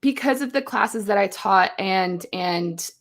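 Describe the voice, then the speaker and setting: A woman's voice: mid-range voice, a little slow. A woman from Belgium, a choreographer, chatting as a guest on a live show and telling stories with quoted asides. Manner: chatty, animated storytelling with acted-out quotes. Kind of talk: casual interview chat. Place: home, live stream.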